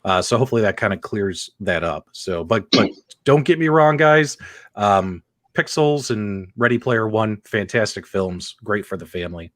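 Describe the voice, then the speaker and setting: A man's voice: fairly low pitch, animated, moderate pace, a bit bright, dry recording, clean studio sound. A man hosting a casual live panel show, informal and conversational as he addresses viewers directly. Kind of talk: casual live-stream hosting and chat reading.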